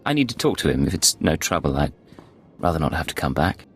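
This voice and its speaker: A man's voice: extremely low pitch, very animated, fairly quick, slightly rough, faint music behind, heavily acted. A man playing a cool, calculating secret agent in a video game, controlled and understated. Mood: smoothly polite. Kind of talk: video game dialogue acting.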